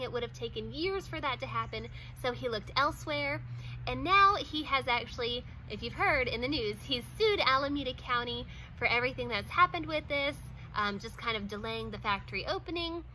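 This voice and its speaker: Bright, high-voiced chatty woman. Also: slight background noise, low-quality recording. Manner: chatty, many "like"s and small laughs. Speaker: vlogger. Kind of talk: casual talk to camera. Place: outdoors in front of a wooden fence.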